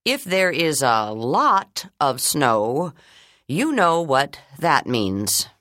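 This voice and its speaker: A woman voicing a kind, funny male school principal making slow, measured intercom announcements in an audiobook. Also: deep voice, very animated, fairly bright tone, no echo, clean studio sound, exaggerated voice. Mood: announcing.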